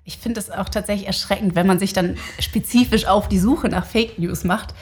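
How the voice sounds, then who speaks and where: A woman's voice: medium pitch, normal speed, a little mellow. A woman giving conversational interview answers, thinking aloud in an informal, explanatory way. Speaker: interview guest, researcher.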